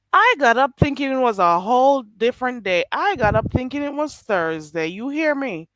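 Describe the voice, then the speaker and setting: Woman streamer, stuffy and a bit nasal, chatty and lively, dry close mic. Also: mid-range voice, dry recording. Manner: chatty, laughs often, drawls "child". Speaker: live streamer reading chat. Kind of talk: casual late-night live stream chat.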